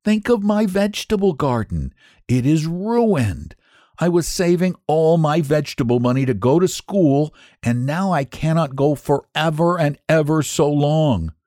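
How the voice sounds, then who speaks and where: A man's voice: medium pitch, wildly swooping pitch, unhurried, hardly any pauses, a little mellow, no echo, studio quality. A man voicing a determined, polite country boy in a children's story, with an earnest, protesting manner. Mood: indignant.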